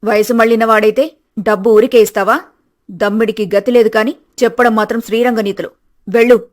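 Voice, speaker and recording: A woman voicing a greedy, domineering older mother-in-law in an animated moral story, scolding and threatening with contempt. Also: fairly high pitch, brisk, pitched below the performer's own voice, lightly acted. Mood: scornful, angry.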